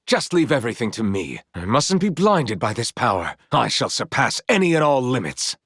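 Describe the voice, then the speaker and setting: A man's voice: mid-range voice, very animated, normal speed, a bit bright, no echo, studio quality. A man playing a confident, proud naval commander in a video game, speaking in formal, heroic phrasing. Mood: cool, confident. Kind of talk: video game character battle lines.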